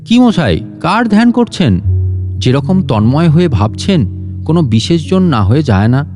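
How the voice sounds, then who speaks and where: Man playing a teasing, mellow-voiced office colleague. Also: on the high side, big pitch swings, normal speed, in a large hall, soft background music. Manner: bantering, inquisitive. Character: teasing, nosy male office colleague, jovial and familiar.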